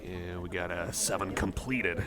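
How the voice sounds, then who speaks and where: Busy cafe chatter surrounds a man playing a friendly, nerdy cyborg who collects cards. His voice sits on the low side. He rambles along casually in ASMR roleplay style, hesitant and a little apologetic. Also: steady tone, unhurried. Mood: curious, casual. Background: cafe crowd ambience.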